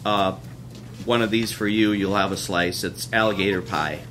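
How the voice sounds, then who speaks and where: A man's voice: lowish voice, steady tone, moderate pace, a bit bright. A man who works as a teacher, giving casual announcements and brief, encouraging directions at a class performance. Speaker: second-grade teacher leading a class performance. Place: school performance room. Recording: distant mic, faint room noise.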